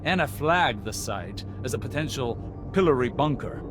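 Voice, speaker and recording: A man's voice: mid-range voice, lively intonation, moderate pace, close to an everyday voice. Actor as a grave male adviser over music. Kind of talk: game mission briefing. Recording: clear music underneath.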